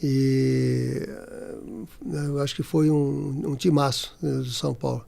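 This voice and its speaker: Former footballer guest, Brazilian man in his sixties, gravelly and mellow, speaks haltingly with lots of fillers. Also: mid-range voice, little pitch movement. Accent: Brazilian Portuguese. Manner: halting, many restarts and fillers. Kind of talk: casual interview.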